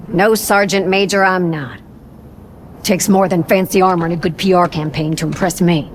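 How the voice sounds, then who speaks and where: A woman's voice: lowish voice, fairly even intonation, normal speed, a little hiss or hum, natural voice. A woman playing a tough, blunt army lieutenant in a game cinematic, with a clipped, no-nonsense, commanding manner. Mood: blunt, unimpressed.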